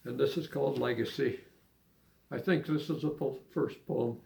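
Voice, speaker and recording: Older man, slow pace, creaky gravelly tone, halting delivery, poetry reading and casual reminiscing. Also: medium pitch, darkish tone. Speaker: amateur poet and musician.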